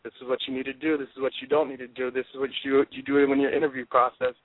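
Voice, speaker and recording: A man's voice: medium pitch, little pitch movement, very fast, dry recording. A man in his twenties, a football player being interviewed, talking in an intense, confident, driven way over the phone. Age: 20s. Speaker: football player, draft prospect guest. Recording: dry tinny phone line.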